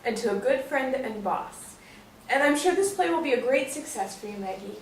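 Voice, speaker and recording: An actress playing a sociable, flirty former stripper at a party in a staged play reading. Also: female voice, on the high side, animated, moderate pace. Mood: festive. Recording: roomy distant stage recording, faint noise.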